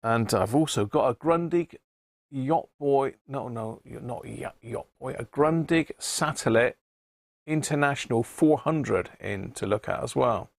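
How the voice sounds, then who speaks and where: Croaky man, chatty stream host. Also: medium pitch, expressive, unhurried, no room sound, clean studio sound. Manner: chatty, greets viewers by name, self-deprecating. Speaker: radio repair livestream host. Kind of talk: casual live-stream chat.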